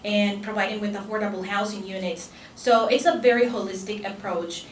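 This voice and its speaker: A woman's voice: mid-range voice, fairly even intonation, brisk, slight background noise. Woman, Salvadoran Spanish accent, panel speaker, earnest, echoey distant room. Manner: earnest, many fillers and restarts. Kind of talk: conference panel talk. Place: conference room.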